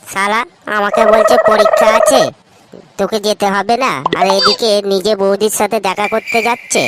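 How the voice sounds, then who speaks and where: A woman's voice: mid-range voice, fairly even intonation, normal speed, very noisy, natural voice. A woman voicing a jealous, sly teenage schoolboy in a comedy cartoon, pestering and grumbling. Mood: resentful.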